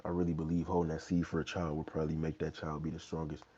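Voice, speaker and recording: A man's voice: very deep voice, level tone, fast talker, mellow. A young man in his twenties hosting a casual fitness livestream from a small bedroom, answering questions in a laid-back, slangy way through an earbud mic. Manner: laid-back, loose slang, many "you know what I'm saying". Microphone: wired earbud mic, phone held low. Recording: dull lo-fi phone audio.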